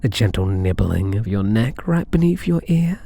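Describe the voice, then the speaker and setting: A man's voice: on the low side, sing-song, creaky, warm tone, breathy edge, full character voice. A British man playing a possessive, flirtatious alien catboy thief in an intimate ASMR roleplay, teasing and doting. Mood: seductive, tender. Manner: teasing, doting, purring endearments, unhurried.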